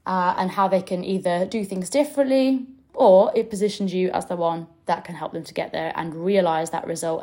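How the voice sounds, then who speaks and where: A woman's voice: on the low side, darkish tone. A young adult woman, a business coach hosting her own podcast, teaching in a chatty, direct way with mild swearing. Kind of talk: casual teaching podcast monologue.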